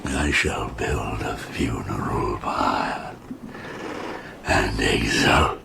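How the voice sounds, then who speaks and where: An actor playing an anguished, menacing outcast creature in a radio drama, gravelly and gravely theatrical. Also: male voice, extremely low pitch, drawn-out words, exaggerated voice. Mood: anguished remorse. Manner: grave, archaic theatrical speech. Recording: audible background noise.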